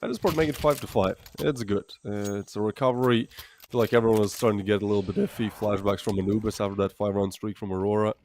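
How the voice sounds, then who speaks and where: A low-voiced man from the Baltics commentates a live esports match with chatty, excitable play-by-play. A steady hiss of noise sits under his stream audio. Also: fast talker. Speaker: esports caster.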